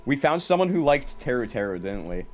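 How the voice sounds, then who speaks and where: Man, medium pitch, casual gaming chat, faint music underneath. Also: very animated, brisk. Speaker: co-streamer. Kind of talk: casual chat during a game stream. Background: faint game music.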